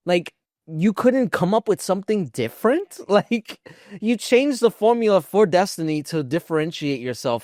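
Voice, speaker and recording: A man's voice: on the high side, no echo. Male podcast co-host, dry close sound. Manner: informal, peppered with "like". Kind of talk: casual chat, commentary.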